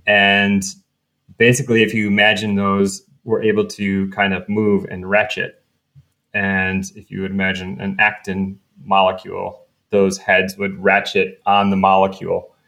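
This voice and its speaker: A man hosting a podcast, chatting in a relaxed way and thinking aloud as he explains. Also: deep voice, measured pace, creaky. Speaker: podcast host, researcher. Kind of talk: casual podcast chat.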